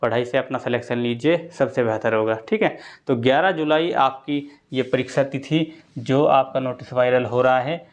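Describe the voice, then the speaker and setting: A man's voice: medium pitch, moderate pace. A man giving students an informal, explanatory update, repeating key points as he advises them. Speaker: exam coaching presenter.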